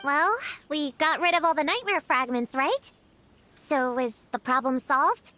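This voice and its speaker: Very high, perky little girl. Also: unhurried, bright, forceful tone, slight background noise. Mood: thoughtful. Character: spirited, curious little girl in a fantasy world. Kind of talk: animated video game voice acting.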